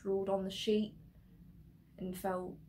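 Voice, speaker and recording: Slow, soft-toned despairing daughter played by a woman. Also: medium pitch, flat delivery, slight background noise, lightly acted. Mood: wistful, tender. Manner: hesitant, halting, pausing between phrases. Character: despairing grown daughter quietly explaining herself to her mother. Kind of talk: dramatic stage monologue.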